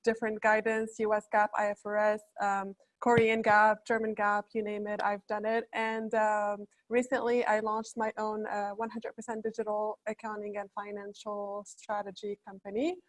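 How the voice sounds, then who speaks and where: A woman's voice: medium pitch, monotone, moderate pace, few pauses. A woman from Morocco, an accountant, introducing herself as a panelist in a cheerful, friendly way on a video call.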